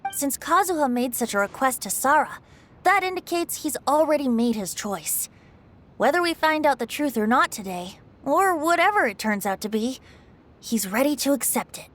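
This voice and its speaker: A woman's voice: high-pitched, expressive, normal speed, fairly bright tone. A woman playing a playful, wise, long-lived lady in a video game, elegant, teasing and assured. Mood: calm, assured.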